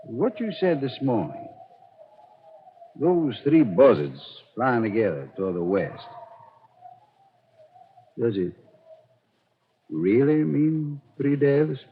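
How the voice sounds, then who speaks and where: An earnest, uneasy man in a vintage radio play. He questions slowly, with plenty of swing in his pitch. The broadcast sounds narrow and heavily muffled. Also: big pitch swings, a little hiss or hum. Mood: uneasy, probing. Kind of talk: 1940s radio drama acting.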